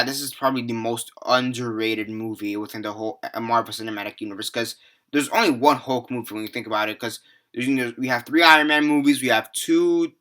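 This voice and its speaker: A man's voice: mid-range voice, bright, forceful tone. A seventeen-year-old young man giving a rambling, casual movie review, full of fillers, restarts and the odd swear word. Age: teens.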